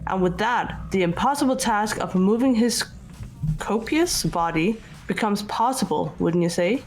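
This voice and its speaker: A cool, analytical student from a mystery game, acted by a woman. The voice sits at a middle pitch, measured and matter-of-fact, over background music. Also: animated, darkish tone. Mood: composed, analytical. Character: composed, analytical student reasoning coolly through a murder case. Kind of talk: scripted game dialogue read aloud by fans.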